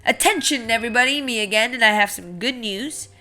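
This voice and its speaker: A woman's voice: fairly high pitch, fairly quick, sharp tone, faint music behind. A woman voicing a grumpy, anxious male seed in a theatrical, announcing way for a children's storybook. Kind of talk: children's picture book read-aloud.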